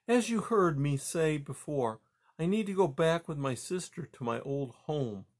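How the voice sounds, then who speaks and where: A man plays an anxious, gentle mother mouse from a children's storybook. The voice sits high and swings up and down. The manner is polite, earnest and a little hurt. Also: lively intonation, a little slow.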